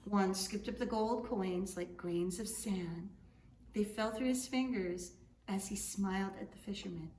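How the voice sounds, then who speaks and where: A woman narrates a storybook with expressive, dramatic phrasing. Her voice is medium in pitch and she lingers on key words. The recording is echoey, with faint background noise. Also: measured pace. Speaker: author reading storybook aloud. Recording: echoey room, distant mic, faint noise.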